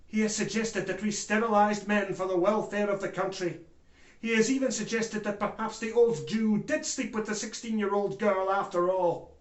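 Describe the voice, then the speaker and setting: Man playing remorseful German ex-judge, male, theatrical courtroom monologue, grave oratory, higher-pitched voice, roomy echo. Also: close to an everyday voice. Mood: solemn, remorseful. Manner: grave, deliberate oratory with swells from hushed to loud. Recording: echoey room.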